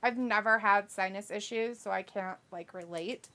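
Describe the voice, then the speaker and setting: A woman's voice: medium pitch, normal speed, sharp tone. A woman co-hosting a wrestling watch-along, chatting casually, reading viewer comments aloud and often breaking into laughter.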